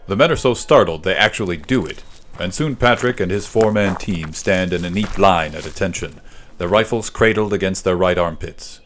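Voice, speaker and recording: A man's voice: deep voice, moderate pace, fairly bright tone, slight background noise. A man reading a historical war story aloud as scripted dramatic narration for a literary podcast.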